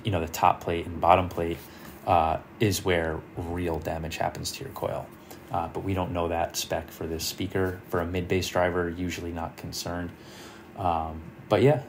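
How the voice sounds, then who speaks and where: A man presenting an informal screen-share software tutorial, explaining things and thinking aloud as he works. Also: sits low, noisy background. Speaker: car audio tutorial presenter.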